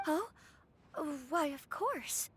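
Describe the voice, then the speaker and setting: A woman's voice: very high voice, expressive, drawn-out words, fairly bright tone, heavily acted. An actress playing a genteel young noble lady in a video game, with a polite, refined manner. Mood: curious, impressed. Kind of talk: scripted video game voice acting.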